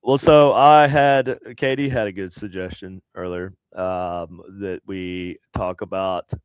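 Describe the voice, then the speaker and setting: A low-voiced man co-hosting a casual paranormal chat, sounding stuffed up and nasal from a head cold. He rambles and stops himself often as he searches for things. The sound is dry and a little dull, like a lo-fi home setup. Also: fairly even intonation, clear, resonant tone, no room sound. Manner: rambling, halting, many fillers. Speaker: podcast co-host. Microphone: home desk setup on a video call.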